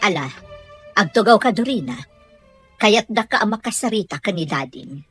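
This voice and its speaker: Fretful lady of the mansion, played by a woman, radio drama: lively intonation, faint music underneath. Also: medium pitch, normal speed, lightly acted. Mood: firm. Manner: fretful, questioning. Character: anxious mistress of the mansion, a worried mother. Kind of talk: Ilocano audio drama acting.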